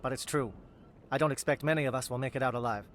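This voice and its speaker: A man's voice: medium pitch, steady tone, fast talker, fairly bright tone, slight background noise, close to an everyday voice. An actor playing a pragmatic male alien military captain in a video game, speaking in a precise, formal manner. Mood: resolute.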